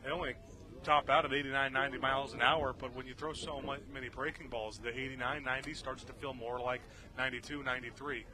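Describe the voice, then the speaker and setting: Guy doing baseball color commentary, chatty analysis, mid pitched, crowd noise behind him. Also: fairly even intonation, quick pace, rough recording. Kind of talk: conversational sports analysis. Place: outdoors at a baseball game.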